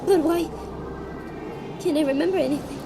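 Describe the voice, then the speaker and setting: A woman playing a defiant, indignant young female adventurer in an audio drama, emotive and halting. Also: high voice, background noise. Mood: dazed confusion.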